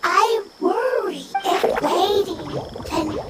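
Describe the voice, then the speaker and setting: Tiny squeaky halting creature. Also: female voice, lively intonation, recorded from a distance, heavily acted. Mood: earnest, worried. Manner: halting, broken short phrases. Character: small timid creature speaking in broken words. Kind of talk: video game voice acting.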